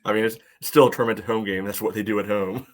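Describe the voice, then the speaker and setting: Low-voiced man talking sports casually. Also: fairly even intonation, quick pace. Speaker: soccer podcast co-host. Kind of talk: casual sports analysis chat.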